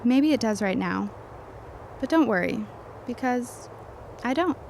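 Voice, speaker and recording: A woman's voice: fairly high pitch, lively intonation, unhurried, gravelly, darkish tone, slight background noise. A woman playing a wry, strong-willed young woman in a period audio drama. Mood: teasing.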